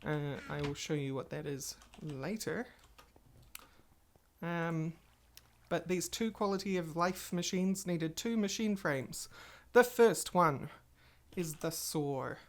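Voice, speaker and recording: Woman, low pitch, chatty game commentary, rambling, slightly stuffy nose, keyboard clicks behind. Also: takes it slowly. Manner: chatty, rambling, talks to viewers while playing. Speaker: gaming channel host. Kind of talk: casual Let's Play commentary.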